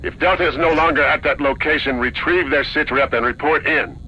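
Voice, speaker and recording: A man's voice: fairly high pitch, fairly quick, sharp tone, highs cut off, a little hiss or hum. A man playing a stern base commander in a video game, giving clipped, authoritative orders. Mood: firm. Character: stern male military base commander, a major. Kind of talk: video game cutscene acting.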